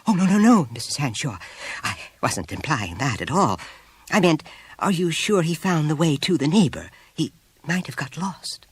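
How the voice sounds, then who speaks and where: Storybook schoolmistress, acted by a male reader: anxious, polite, hesitant, raised pitch with wavering melody. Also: expressive, moderate pace, breathy edge, no echo. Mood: flustered, apologetic. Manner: hesitant, apologetic, delicate. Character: diffident, anxious woman schoolteacher, polite and flustered.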